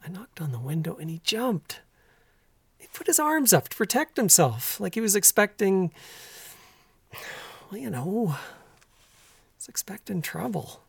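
An actor with a Canadian accent playing a troubled, earnest middle-aged rural husband in an audio drama, speaking plainly and haltingly. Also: male voice, sits high, very animated, vocal fry, slightly rough, no echo, exaggerated voice. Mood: hushed, troubled. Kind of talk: naturalistic audio drama acting.

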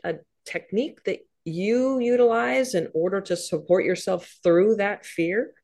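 A woman hosting an interview, warmly encouraging and enthusiastic, often laughing and affirming her guest in casual chat. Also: mid-range voice, measured pace. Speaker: podcast host.

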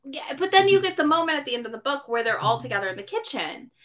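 A woman co-hosting a podcast in casual book chat, animated and full of "like" fillers, often acting out characters' lines. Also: high-pitched, fast, crowd noise. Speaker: podcast co-host.

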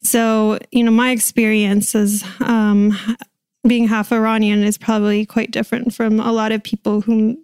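A woman's voice: on the high side, little pitch movement, moderate pace, darkish tone, smooth, no echo. An American woman from the Washington DC suburbs, talking casually and hesitantly as an interview guest. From suburbs of Washington DC, USA. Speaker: podcast guest.

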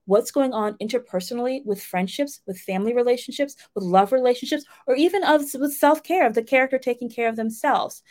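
A woman speaks at a medium pitch in a casual teaching style. She addresses listeners directly, like a coach who hosts her own lesson. Her manner is conversational and encouraging. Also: darkish tone. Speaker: writing coach and host. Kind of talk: casual instructional talk to listeners.

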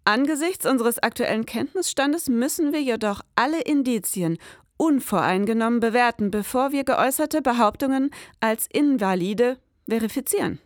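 An actress playing a prim young investigator in an audio drama, speaking in precise, formal, matter-of-fact sentences. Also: female voice, fairly high pitch, natural voice. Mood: analytical. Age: young adult. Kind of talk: scripted audio drama acting and narration.

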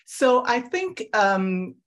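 A middle-aged woman from Singapore, answering as a founder on a panel in a measured, hesitant, conversational way. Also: a little high, big pitch swings.

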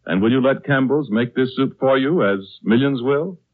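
A man's voice: medium pitch, moderate pace. A male radio announcer with a mid-range voice delivers polished, formal sponsor copy in 1930s style. The recording is thin and tinny, like a phone line. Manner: smooth salesmanlike formal delivery.

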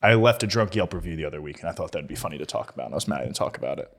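A man's voice: very deep voice, animated, rapid-fire, a bit bright, breathy edge. A man co-hosting a podcast in casual chat, teasing and laughing often. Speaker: podcast co-host.

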